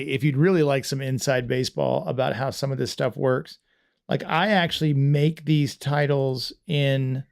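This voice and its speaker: A man's voice: fairly high pitch, gravelly. A man hosting a casual livestream podcast, rambling and self-deprecating with lots of restarts. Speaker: podcast host.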